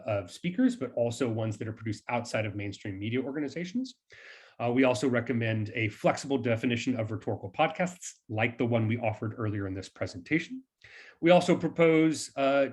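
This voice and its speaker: A man giving a scripted academic presentation as a researcher, explaining points in formal scholarly phrasing. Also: medium pitch.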